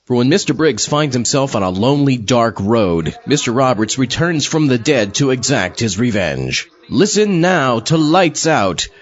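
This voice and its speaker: A man playing a deadpan, easily exasperated zombie astronaut who hosts a comic audio drama. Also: mid-range voice, muffled recording, lightly acted. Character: mildly irritated, deadpan undead zombie astronaut host. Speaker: podcast host.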